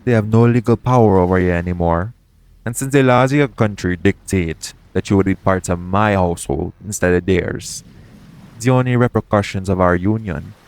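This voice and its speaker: A man playing a shady, smooth-talking pirate captain in an audio roleplay, coaxing and self-assured in a conversational way. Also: sits low, darkish tone. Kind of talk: second-person audio roleplay acting.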